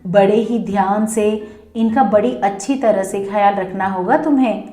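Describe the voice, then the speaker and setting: A woman's voice: mid-range voice, unhurried, echoey room, natural voice. A woman voicing a kind but bossy young village woman in a children's story, emphatic and affectionately scolding. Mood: commanding.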